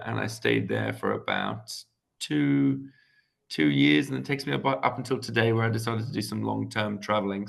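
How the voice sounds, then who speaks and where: A man's voice: on the low side, gravelly, fairly bright tone. A man, a guest speaker chatting to students over a video call, friendly and full of hesitant fillers and restarts. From England. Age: 20s. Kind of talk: casual explanatory talk to students.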